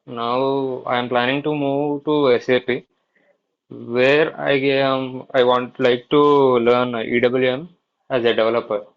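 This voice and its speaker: A man's voice: mid-range voice, level tone, a little slow, creaky. A man who works in warehouse operations introduces himself hesitantly on a video call and corrects himself as he goes. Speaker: warehouse operations worker, student. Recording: muffled video call audio.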